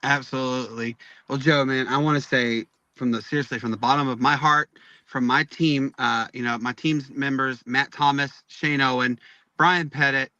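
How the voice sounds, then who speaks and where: A man's voice: medium pitch, fairly even intonation, moderate pace, bright, forceful tone, no room sound. A man hosting a sports show in a casual, effusive interview style, on a somewhat dull, lo-fi recording.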